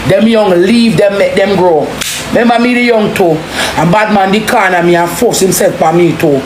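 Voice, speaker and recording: A woman with a Jamaican accent in an impassioned, forceful street-style rant, cursing heavily and repeating phrases for emphasis. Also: mid-range voice, moderate pace. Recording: roomy echo, clearly noisy background.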